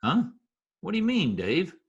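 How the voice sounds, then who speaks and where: A man's voice: fairly high pitch, huge pitch swings, moderate pace, slightly rough, dull audio, heavily acted. A man playing a wry Broadway wise guy who narrates an old-time radio play in slangy yet oddly formal present tense. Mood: curious. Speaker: narrator and actor in an amateur radio play recreation.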